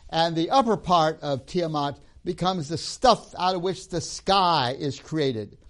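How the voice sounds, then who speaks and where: Male lecturer from Tanzania, slightly high, animated delivery, storytelling style. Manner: storytelling with tag questions, acts out scenes. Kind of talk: lecture on religion and myth.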